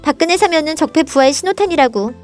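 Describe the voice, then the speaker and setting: A high, bright-spirited princess voice acted by a woman argues politics in a storybook parody. She is sharp-tongued and indignant, scolding with emphatic rhetorical questions. Faint music plays behind her. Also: expressive, fast talker. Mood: emphatic explaining. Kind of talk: scripted political satire dialogue, storybook parody.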